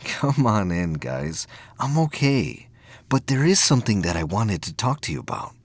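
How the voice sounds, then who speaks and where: A man playing an earnest, troubled but determined young space cadet in an audio drama, speaking in a halting, urgent way. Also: fairly low pitch, big pitch swings, dry recording, pitched above the performer's own voice. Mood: polite, reassuring.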